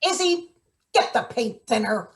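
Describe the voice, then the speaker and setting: A woman's voice: a little high, very animated, unhurried, vocal fry, full character voice. A woman playing a menacing, sardonic late middle-aged maintenance worker in a table read of a satirical play. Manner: sardonic, interrogating, theatrical threats.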